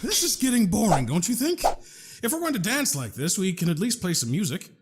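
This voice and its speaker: Man playing a high-voiced, flippant gentleman saboteur. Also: very animated, brisk, over loud music. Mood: flippant, exasperated. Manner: glib, chatty, mock-polite with stammering asides. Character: flippant gentleman genius saboteur, verbose and unruffled. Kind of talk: comedic audio drama acting.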